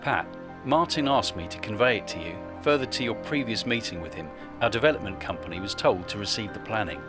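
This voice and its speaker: A man's voice: fairly low pitch, expressive, brisk, music in the background, close to an everyday voice. A man playing a smitten, persuasive property developer in a radio drama. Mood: persuasive, flirtatious.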